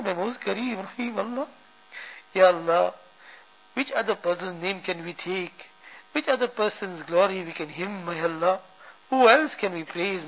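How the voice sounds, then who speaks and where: A man leading an emotional supplication, chanting Arabic verse and pleading fervently, each refrain trailing off to a hush. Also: sits high. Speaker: prayer leader. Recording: dull lo-fi recording.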